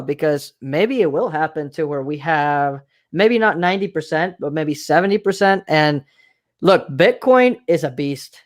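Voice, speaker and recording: A man from Mexico hosting a podcast interview, talking casually and repeating himself for emphasis. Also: fairly high pitch. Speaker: podcast host.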